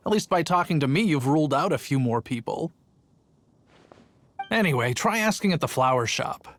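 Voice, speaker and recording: An actor playing a chatty, sheepish city guard in a video game who tells a long story and gets defensive. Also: male voice, a little high, animated, moderate pace, a bit bright, no room sound.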